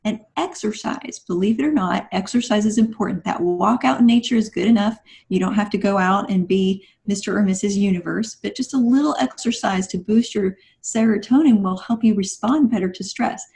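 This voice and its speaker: A woman's voice: medium pitch, brisk, vocal fry, hardly any pauses, darkish tone. A woman guest on a podcast, giving conversational advice in an instructive, encouraging manner.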